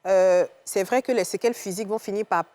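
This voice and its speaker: A woman shares her thoughts on a casual talk show panel. Her voice is medium in pitch, and she speaks reflectively, feeling for her words. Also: fairly quick, a little mellow.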